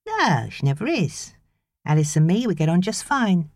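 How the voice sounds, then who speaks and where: Woman doing a cheeky british teen babysitter, giggly and boy-mad, voice bouncing up high, audiobook. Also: very animated, moderate pace, a little mellow, no room sound, studio quality. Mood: cheerful, confident. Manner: chirpy, giggly, slangy. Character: chirpy, cheeky sixteen-year-old babysitter girl, boy-mad.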